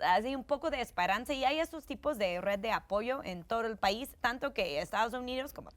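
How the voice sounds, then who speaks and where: A woman's voice: fairly high pitch, animated, brisk. New York woman journalist on a panel. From New York, United States. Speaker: journalist guest. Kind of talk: panel discussion.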